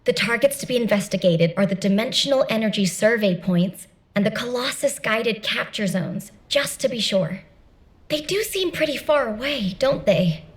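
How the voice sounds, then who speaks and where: A woman's voice: fairly high pitch, lively intonation, normal speed, close to an everyday voice. A woman voicing a chatty, easygoing young female soldier giving a casual briefing in a video game.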